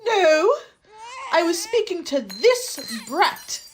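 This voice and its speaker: A woman's voice: very high voice, big pitch swings, extremely slow, slight background noise, full character voice. A woman playing a rude, snappish Duchess in a radio play, barking brusquely. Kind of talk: theatrical radio play acting.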